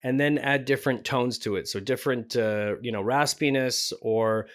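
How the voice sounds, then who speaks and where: A man's voice: medium pitch, normal speed. A man hosting a tutorial as a voice actor, talking casually and informally with some self-deprecating humour. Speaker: host, voice actor giving a tutorial. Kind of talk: casual instructional talk.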